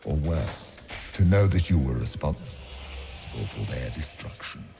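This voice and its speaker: A man voicing a huge, ancient nightmare demon in a video game, gloating and mocking with slow menace. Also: a bass voice, lively intonation, gravelly, over music, exaggerated voice. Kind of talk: theatrical video game villain acting.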